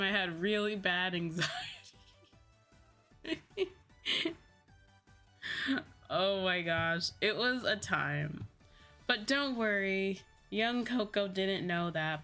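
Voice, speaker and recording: A young adult woman streamer telling personal stories in a casual, animated, giggly chat style. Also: medium pitch, slow, pauses often. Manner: animated retelling with acted-out quotes and frequent giggles. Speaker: streamer, VTuber host. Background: background music.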